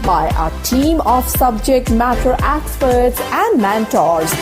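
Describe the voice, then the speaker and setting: A woman reading a scripted show intro in a slow, deliberate announcing voice. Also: fairly high pitch, big pitch swings. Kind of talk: scripted promo read. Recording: clear music bed under voice.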